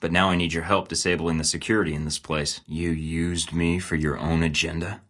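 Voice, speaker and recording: A man's voice: low-pitched, little pitch movement, a little fast, a bit bright. An actor playing a blunt, sarcastic male spy agent in video game dialogue, with dry, clipped lines. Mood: terse.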